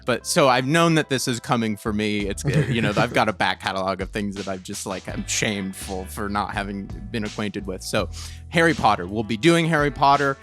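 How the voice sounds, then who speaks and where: A man's voice: fairly low pitch, a little fast, fairly bright tone, soft background music. A man hosting a casual podcast, enthusiastic and easily amazed, often repeating and stressing his words as he feels his way through a sentence. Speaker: podcast host.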